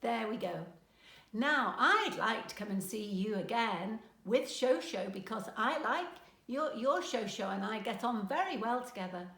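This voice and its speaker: A woman's voice: fairly high pitch, animated, unhurried, creaky, a bit bright, echoey room. A woman narrating a children's storybook aloud as a storyteller. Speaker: storyteller, grandmother.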